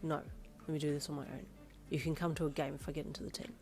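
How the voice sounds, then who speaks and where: A woman voicing a gruff, grumpy young male athlete who answers in low, clipped replies. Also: flat delivery, quick pace, dark tone, faint music behind.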